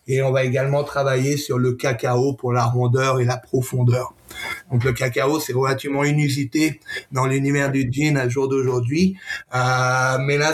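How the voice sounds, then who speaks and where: A man speaks as a guest presenting a product in an interview. His intonation stays fairly flat at an average male pitch. He talks conversationally, with frequent pauses and doubled-up words. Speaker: gin brand representative, interview guest.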